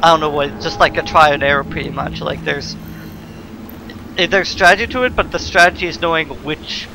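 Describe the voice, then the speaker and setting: A man hosts a let's play in an informal, explanatory way. His voice sits a bit high and has a lot of creak, with faint noise in the background. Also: fairly quick. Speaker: gaming video host. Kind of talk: casual let's play commentary.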